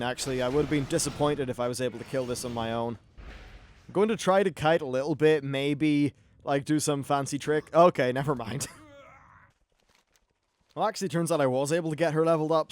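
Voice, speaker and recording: A man's voice: on the high side, brisk. A man giving casual live-play game commentary in a chatty, wry, casually sweary way. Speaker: gaming video host. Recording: clear background noise and game ambience.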